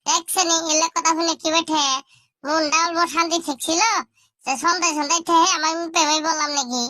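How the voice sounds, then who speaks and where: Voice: very high voice, fairly even intonation, a little fast, pushed and bright. A performer voicing a whiny, indignant little cartoon schoolkid who rambles and grumbles. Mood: whiny, grumbling. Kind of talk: comedy cartoon dialogue in colloquial Bangla.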